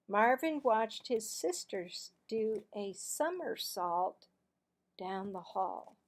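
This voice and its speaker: A woman narrating a children's storybook aloud in an expressive, deliberate manner. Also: medium pitch. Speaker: teacher-style read-aloud narrator.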